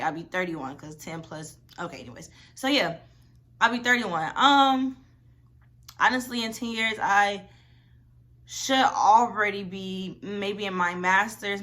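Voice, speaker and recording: Young woman, early twenties, bright tone, chatty, rambling, casual vlog talk. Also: medium pitch. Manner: chatty, rambling, lots of "y'all" and "like". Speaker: vlogger.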